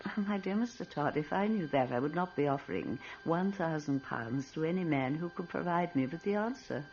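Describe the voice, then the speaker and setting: An actress playing a wealthy, self-serving old lady in a radio mystery drama, genteel, deliberate and ominous in manner. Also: low voice, lively intonation, darkish tone, soft background music. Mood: ominous, dramatic. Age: older. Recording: muffled old radio recording.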